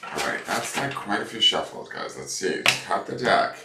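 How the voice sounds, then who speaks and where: A man with a stuffed-up voice, chatting casually as a tarot reader and rambling excitedly about the cards. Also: sits low, moderate pace. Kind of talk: casual chat to camera. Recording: echoey room from far off, loud noise.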